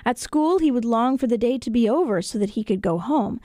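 A woman narrates children's folktales as a storyteller. Her voice is fairly high and moves around with lively expression, recorded dry and close in a studio. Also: a little fast, dry recording. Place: radio studio.

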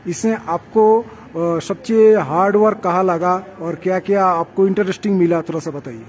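Man, interviewer, high voice, polite long questions, faint workshop noise. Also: normal speed.

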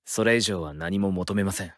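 A man's voice: low-pitched, moderate pace, lightly acted. An actor playing a cool, principled teenage boy sorcerer in a Japanese anime game, intense and declarative. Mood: cool, resolute. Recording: loud game music behind. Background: loud music.

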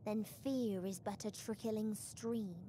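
A woman playing an otherworldly video game villain, coldly resigned to the death of everything, speaking in a measured, ominous way. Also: mid-range voice, fairly even intonation, background noise, close to an everyday voice. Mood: cold, solemn.

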